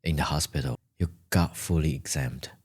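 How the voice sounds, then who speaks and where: A man narrating a scripted story in a gentle ASMR storytelling style, with deliberate phrasing and a breathy, husky voice that often drops toward a whisper. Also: extremely low pitch, steady tone. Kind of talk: scripted second-person bedtime storytelling, ASMR.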